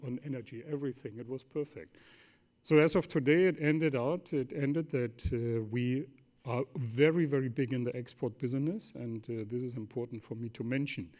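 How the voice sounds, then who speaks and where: A German man, a native German speaker, talks as an executive on a forum panel in a German accent. His voice sits at a medium pitch. He is emphatic, building points from short, repeated phrases and rhetorical questions. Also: darkish tone. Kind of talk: semi-formal panel talk.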